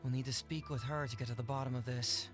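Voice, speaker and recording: A man plays a sly young detective in a video game. His voice sits at a middling pitch and is glib, teasing and easygoing, with music playing faintly behind him. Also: fairly even intonation, fast talker, natural voice. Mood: mock offended.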